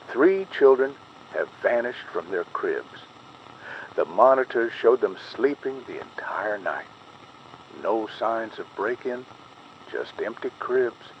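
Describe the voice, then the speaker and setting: A man reading a scripted horror emergency advisory in a grave, deliberate, ominous official announcer style. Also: fairly low pitch, creaky, lo-fi sound, slight background noise. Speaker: narrator of an analog horror emergency broadcast.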